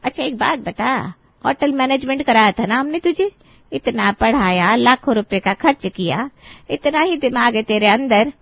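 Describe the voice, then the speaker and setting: A woman voicing a theatrical middle-aged mother who lectures her married daughter, with a gravelly edge, on a dry, muffled studio recording. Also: on the high side, lively intonation, moderate pace, dry recording. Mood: scolding. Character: bride's middle-aged mother, dramatic and scolding.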